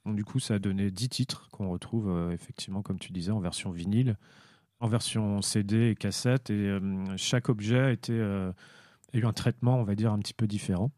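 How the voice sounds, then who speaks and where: A man, a musician guest, answering interview questions in a thoughtful, hesitant way with frequent pauses and fillers. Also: fairly low pitch, fairly even intonation, darkish tone, no room sound, studio quality.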